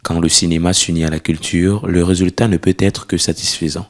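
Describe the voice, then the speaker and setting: A deep-voiced man reads a closing voice-over in a formal, deliberate manner. The reading sounds prepared and steady. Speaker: narrator. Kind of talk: scripted voice-over.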